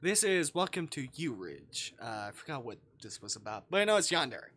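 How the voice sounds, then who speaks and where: A man livestreaming a visual novel, switching between jokey chat and reading the text aloud in a playful, expressive way. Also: medium pitch, a little fast. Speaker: live streamer playing a visual novel.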